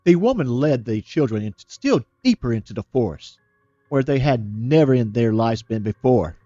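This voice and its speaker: A man reading a fairytale aloud as a storyteller, steady and plain, now and then restarting a word. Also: medium pitch, normal speed, no echo, dull audio, faint music behind.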